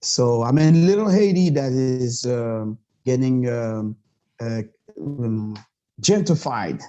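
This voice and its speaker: Man from montreal, french first language, halting and filler-heavy, warm dark tone, dull lo-fi sound. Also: medium pitch. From Montreal, Canada. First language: Quebec French. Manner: halting, many fillers, passionate. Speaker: podcast guest. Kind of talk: casual interview talk.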